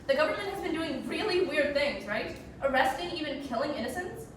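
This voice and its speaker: A young woman acting a sharp-tongued, sarcastic wartime mother in an amateur radio drama, bickering and exasperated. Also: high voice, animated, fairly quick. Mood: argumentative. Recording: echoey room, distant mic, noisy.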